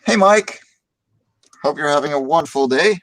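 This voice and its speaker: A man's voice: on the high side, animated, normal speed, a bit bright. A Dutch man hosting a casual livestream chat, upbeat and joking, often repeating words as he starts a sentence. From Netherlands. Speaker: stream host.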